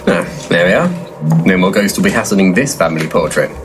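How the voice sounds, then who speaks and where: An actor playing a brash, foul-mouthed, money-minded ghost investigator in a comedy audio drama, deadpan and bossy. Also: male voice, deep voice, fairly quick, background noise.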